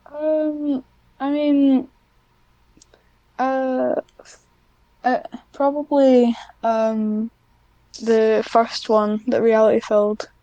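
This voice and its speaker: A woman talks as a guest in a casual interview. Her voice sits a little high and has a mellow, relaxed tone. She speaks slowly and hesitantly, often putting herself down, in a dry, close recording. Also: smooth, no room sound, highs cut off. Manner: hesitant, self-deprecating. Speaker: guest, hobbyist audio script writer.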